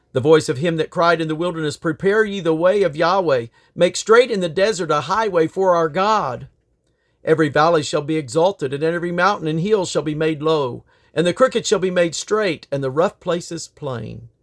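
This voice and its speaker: A man with a fairly high-set voice reads scripture aloud. His delivery is solemn and declamatory, and he leans on key phrases with emphatic rises and falls. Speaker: Bible reader. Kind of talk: scripture reading aloud from the King James Bible.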